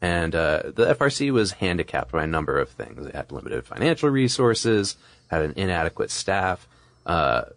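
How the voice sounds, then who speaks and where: A man with a deep voice speaks as a podcast co-host. His delivery is conversational, assembling explanations as he talks. The recording is dry and free of room sound. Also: big pitch swings, normal speed, creaky, no echo.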